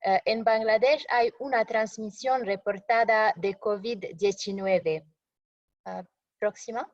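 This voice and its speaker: Woman, non-native speaker, slow, hesitant slide presenter, dull lo-fi call audio. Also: medium pitch, steady tone. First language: not Spanish. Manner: halting, frequent fillers and self-corrections. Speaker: webinar presenter.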